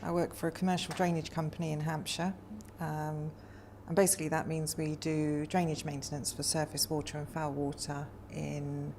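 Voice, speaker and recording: A woman in her forties from Wales, speaking thoughtfully in a personal interview, often pausing with hesitant ums. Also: deep voice, flat delivery, a little mellow, slight background noise. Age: 40s.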